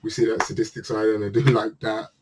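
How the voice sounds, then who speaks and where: Chatty man with a low voice. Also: monotone, quick pace, a little hiss or hum. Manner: chatty and earnest, often restarting phrases. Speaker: channel host reviewing manga chapters. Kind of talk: casual spoken review monologue.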